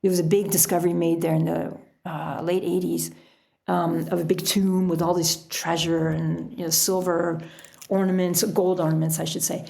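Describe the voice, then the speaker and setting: A middle-aged woman telling stories casually as an interview guest, in an animated, chatty way. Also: on the low side, normal speed, vocal fry. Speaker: podcast guest, author and sailor.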